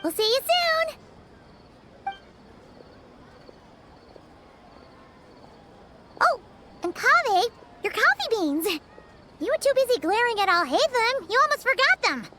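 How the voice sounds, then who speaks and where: A woman voicing a small, excitable, talkative fairy girl with a squeaky childlike voice in a video game. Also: a little slow, long pauses, very noisy, exaggerated voice. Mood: cheerful, excited. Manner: exclaiming, refers to itself in third person.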